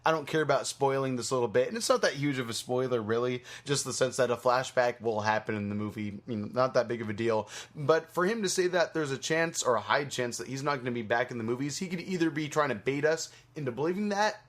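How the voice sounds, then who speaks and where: A man's voice: mid-range voice, fast, few pauses. Guy hosting a casual movie podcast, medium voice, rambles a bit and swears. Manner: rambling, foul-mouthed. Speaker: podcast host.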